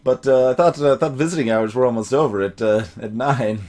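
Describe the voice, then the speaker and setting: A man's voice: medium pitch, a little fast, a little mellow. A man giving casual let's play commentary, rambling and musing to himself as he goes.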